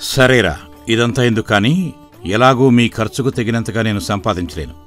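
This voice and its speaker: A man playing a weary, worried but shrewd middle-aged village father in an animated moral story, speaking plainly and earnestly. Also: medium pitch, normal speed, natural voice. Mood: measured, persuasive. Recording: background music throughout.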